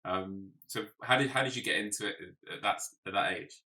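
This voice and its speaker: A male interviewer with a fairly low but bright voice speaks casually and haltingly. He is slightly away from the microphone. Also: far from the mic. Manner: halting, informal questioning.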